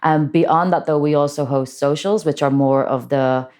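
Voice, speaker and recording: A woman with a Dublin Irish accent and a very deep voice for a woman. She talks loosely and chattily as a guest, circling back with fillers and restarts. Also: fairly even intonation, moderate pace, darkish tone. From Ireland. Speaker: guest, party organizer. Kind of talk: casual interview chat.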